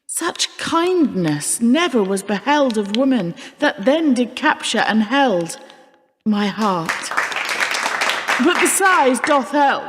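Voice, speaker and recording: An actress playing a merry, flattering medieval noblewoman in a radio play, with playful, florid delivery. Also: on the high side, takes it slowly, crowd noise. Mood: merry, teasing. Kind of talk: theatrical period radio drama in archaic English. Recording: long hall reverb, clearly noisy background.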